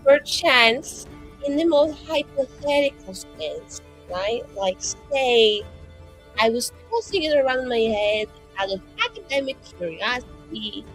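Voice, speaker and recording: A woman's voice: on the high side, pitched below the performer's own voice, poor audio. A woman voicing a nervous, scheming young mage in a fantasy tabletop game, rambling, slangy and anxiously earnest. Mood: cagey, hedging.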